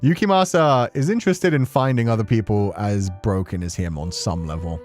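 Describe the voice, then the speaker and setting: Casual male streamer, lowish voice, animated and free with swearing, music underneath. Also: brisk. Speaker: streamer playing and reading a visual novel. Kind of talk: casual commentary on a story game.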